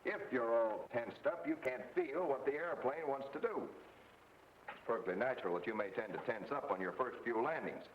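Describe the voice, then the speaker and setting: An American man delivers scripted 1940s training film narration as an instructor, in a measured, didactic, clearly articulated way. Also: medium pitch, recorded from a distance. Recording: muffled, noisy, roomy vintage film soundtrack.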